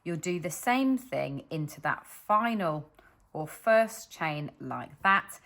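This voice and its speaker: A woman's voice: sits low, animated, slow, vocal fry, a bit bright. A woman with a British accent teaching crochet stitches step by step, patiently explaining as she demonstrates. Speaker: crochet instructor. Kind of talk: step-by-step tutorial. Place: indoors at a wooden table, hands only.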